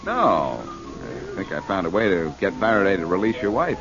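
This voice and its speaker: Breezy, low-voiced wisecracking detective, old radio. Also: male voice, huge pitch swings, music in the background, heavily acted. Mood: confident, probing. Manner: glib, teasing, quick with quips. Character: breezy, wisecracking male amateur detective, cool and confident. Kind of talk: 1940s radio detective drama acting. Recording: dull 1940s radio broadcast recording.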